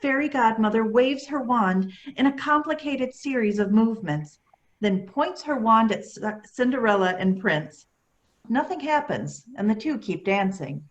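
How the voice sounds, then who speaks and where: A woman's voice: a little high, lively intonation, a little slow, highs cut off. A woman reading scripted stage directions as narrator of a staged play reading.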